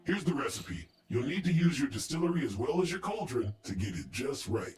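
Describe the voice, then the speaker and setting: A man's voice: mid-range voice, sing-song, a little fast, darkish tone, roomy sound, faint music behind, lightly acted. A man acting a masked witch in a video game, a secretive, confident mentor with a playful, teasing way of talking. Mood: playful, instructive.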